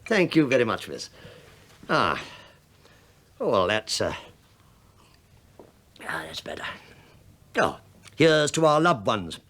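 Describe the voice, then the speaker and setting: An actor playing an older British police inspector in a radio play, falsely humble, rambling and needling with mock politeness. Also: male voice, mid-range voice, sing-song, takes it slowly, pauses often, a bit bright, exaggerated voice. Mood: sly, mock-polite.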